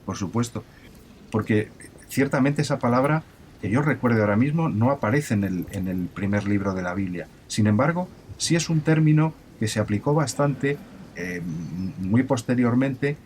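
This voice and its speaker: A man's voice: medium pitch, lively intonation, normal speed, darkish tone, slight background noise, natural voice. A man playing an amiable professor of ancient languages, explaining in an emphatic, didactic and formal way, with many restarts, as in a recorded interview. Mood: explaining, certain. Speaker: university professor of biblical languages.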